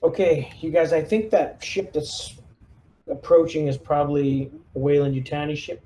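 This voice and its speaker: A man's voice: a little high, fairly even intonation, normal speed, dark tone, rough recording. A man playing a tabletop roleplaying game, thinking aloud as he casually lays out squad tactics and checks in with the others. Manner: collaborative, thinks aloud, hesitant phrasing. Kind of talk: casual in-character tactical planning.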